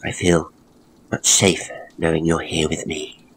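A man voicing a frail, earnest young woman in a ghost story, hushed and halting. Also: rumbling low, flat delivery, slight background noise, low-quality recording. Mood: tender, regretful.

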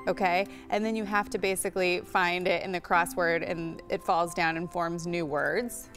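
A woman in her thirties, a guest presenting a tech segment in casual studio chat, chatty and quick to laugh. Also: lowish voice, fairly even intonation, a bit bright, faint music behind. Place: TV studio set on a couch. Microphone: lapel mic.

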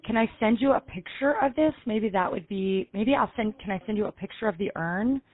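A middle-aged woman playing an anxious, pious mother on a comedy prank call, speaking haltingly and pleadingly. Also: mid-range voice, phone-quality sound, natural voice. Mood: earnest, solemn. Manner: hesitant, halting, pleading, earnest. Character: flustered, pious, anxious mother seeking advice. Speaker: prank caller on a comedy show. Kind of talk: improvised prank phone call.

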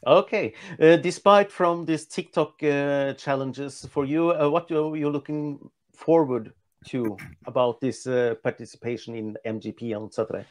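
A man's voice: medium pitch, fairly even intonation, normal speed. Hesitant male interviewer on a video call. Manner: hesitant, many fillers.

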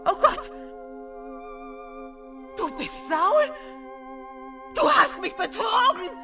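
A woman's voice: fairly low pitch, expressive, slow pace, over music. A woman playing a wary, secretive fortune-teller in a radio play, speaking in a guarded way. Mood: terrified. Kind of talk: dramatic radio play acting.

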